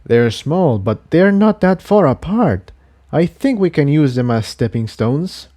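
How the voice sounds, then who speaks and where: A man's voice: on the high side, big pitch swings, a little slow, warm tone, pitched above the performer's own voice. A man voicing a practical, protective young male pony adventurer in a storybook reading, plain and advising. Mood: thoughtful.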